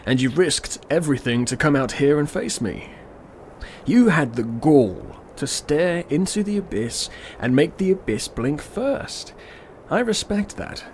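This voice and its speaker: Radio drama villain, male actor: unhurried apocalyptic being, condescending menace, noisy ambient recording. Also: mid-range voice. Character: calm, smug destroyer demon, world-ending evil being. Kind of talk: old-school radio drama acting.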